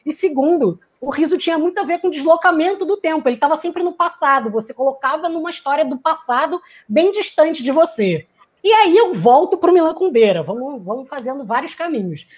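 A woman with a high voice gives a reflective talk, speaking like someone from the theater. She muses aloud and searches for words. When she quotes people, she briefly acts out their lines. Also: lively intonation, brisk. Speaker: theater performer giving a talk.

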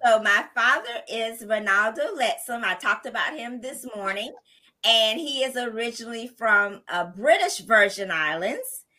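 A woman host with a bright, forceful voice talks effusively and with a preacher's enthusiasm. Her voice sits a little above average in pitch. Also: animated, unhurried. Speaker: podcast host and writing coach. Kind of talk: hosting a podcast book launch.

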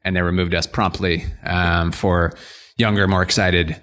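Deep-voiced New York man in his thirties, chatty and animated anecdote teller. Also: measured pace. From Scarsdale, New York. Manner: animated storyteller, joking. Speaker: guest, restaurant guide co-founder. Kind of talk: casual podcast interview.

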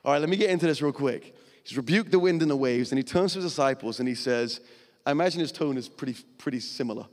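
A male pastor preaching a sermon on a church stage into a microphone, explaining in a conversational way with dramatic pauses. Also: mid-range voice. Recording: long hall reverb.

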